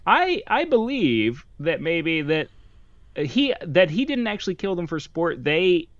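A man's voice: a little high, big pitch swings, a little slow, dull audio, slight background noise. A young man co-hosting a podcast, chatting casually and jokily over a board game with lots of fillers and restarts. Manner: jokey, rambling, many fillers and restarts. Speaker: podcast co-host.

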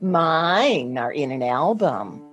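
A woman acting in a radio play as a sentimental grown daughter who clings to keepsakes and pleads haltingly. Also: low-pitched, animated, husky, heavily acted. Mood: wistful. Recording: music behind, recorded remotely in one take.

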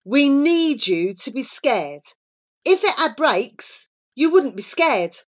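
Confident schoolgirl schemer for children's fiction, acted by a woman, bossy, emphatic, very animated delivery. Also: high-pitched, voice pushed up, exaggerated voice. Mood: exasperated, persuasive. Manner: emphatic, coaxing, brisk. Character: bossy, confident young girl, persuasive schemer. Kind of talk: children's storybook character voice.

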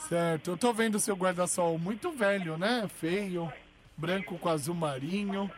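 High-voiced teasing man. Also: moderate pace. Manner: teasing, provoking. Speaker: radio show host and prank caller. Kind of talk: prank phone call and studio chat.

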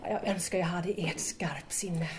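A woman's voice: on the low side, fairly even intonation, lightly acted. A woman playing an eager, admiring young student in an audio drama, curious and deferential.

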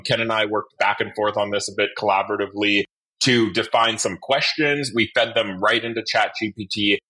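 A man's voice: on the low side, pushed and bright, slightly rough, poor audio. A man hosting a podcast, interviewing in a conversational way.

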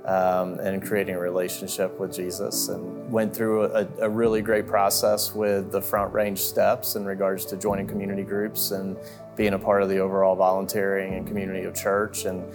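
A man from Central Florida sharing a soft-spoken, hesitant testimony. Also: sits low, monotone, normal speed. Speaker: church member giving testimony. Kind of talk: testimony interview. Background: guitar music.

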